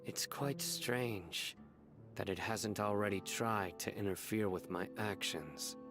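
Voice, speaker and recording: A stern enforcer from a desert video game realm. His voice is low and guarded, his phrases clipped and serious, over clearly audible music. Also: slow pace. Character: stern, terse male desert law enforcer. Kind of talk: scripted video game dialogue.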